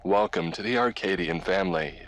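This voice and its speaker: A man voicing a cheerful 1950s hotel training-film host with a transatlantic accent and a practiced, upbeat, oddly pausing delivery. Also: deep voice, fairly bright tone, slight background noise. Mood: cheerful, welcoming. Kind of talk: 1950s instructional film presenting.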